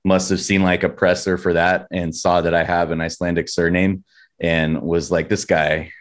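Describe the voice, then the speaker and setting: A man's voice: low voice, little pitch movement, moderate pace. A man with a Californian accent telling stories casually in an informal, rambling, slangy way. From San Diego, United States. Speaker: metal band vocalist, interview guest. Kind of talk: casual chat, storytelling.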